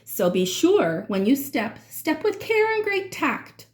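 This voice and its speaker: High-voiced woman reading a children's storybook aloud. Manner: expressive, dramatic phrasing with drawn-out words. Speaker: children's read-aloud reader. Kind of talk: expressive storybook read-aloud in rhyming verse.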